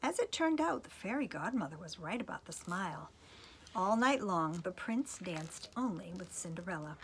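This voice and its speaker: Animated woman storyteller reading for children. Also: on the low side, normal speed, slight background noise, poor audio. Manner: playful asides to young listeners.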